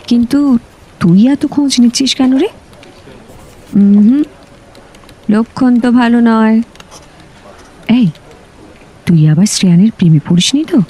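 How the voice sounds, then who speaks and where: A woman's voice: on the high side, measured pace, long pauses, mellow, breathy edge, low-quality recording. A woman playing a chatty, nosy senior office colleague in an audio drama, with a teasing, gossipy manner. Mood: playful, teasing. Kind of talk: audio story acting.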